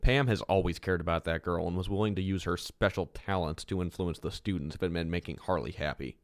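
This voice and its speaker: A man's voice: low voice, a little fast. A man playing an earnest, brooding teenage boy detective in an audio drama, serious, polite and understated. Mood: matter-of-fact.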